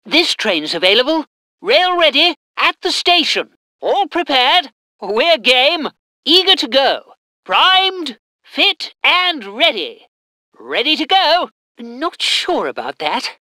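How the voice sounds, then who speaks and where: High, bright British train soldier. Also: female voice, sing-song, slow, no room sound, clean studio sound, heavily acted. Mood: eager, ready. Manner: clipped military reporting. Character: eager, dutiful British army train crew soldier. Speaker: game voice actor. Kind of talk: military strategy game unit voice lines.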